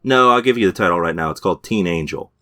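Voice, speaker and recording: Very deep-voiced man reviewing TV, halting. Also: animated, pushed and bright, no echo, studio quality. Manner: hesitant, lots of fillers and restarts. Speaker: podcast co-host. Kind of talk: casual chat reviewing TV shows.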